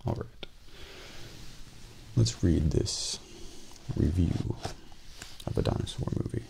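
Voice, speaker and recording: A man playing a calm, deadpan professional assassin in a soft-spoken ASMR role play, often near a whisper and very deliberate. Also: very deep voice, gravelly, heavily acted. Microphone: close binaural microphone. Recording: faint background noise, close binaural mic.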